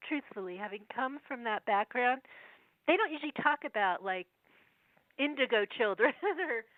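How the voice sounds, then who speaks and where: Brief: higher-pitched woman, under a cold, slow deliberate verse reading, tinny phone call, dry. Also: lively intonation, dry recording. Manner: slow, measured verse reading, chatty asides. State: has a cold, voice going. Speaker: guest researcher. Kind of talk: phone interview, reading prophecy verses aloud.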